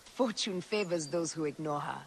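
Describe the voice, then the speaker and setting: A woman's voice: sits low, normal speed, creaky, a little hiss or hum. A woman playing a dry, confident and stubborn young female warrior in a video game cutscene.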